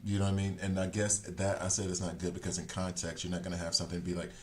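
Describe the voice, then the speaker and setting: A man with a notably low voice chats casually on a game stream. He rambles, restarts his sentences and corrects himself as he works his thoughts out aloud. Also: little pitch movement, fast talker, a little mellow. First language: English. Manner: talks things through as he thinks. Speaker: gaming streamer.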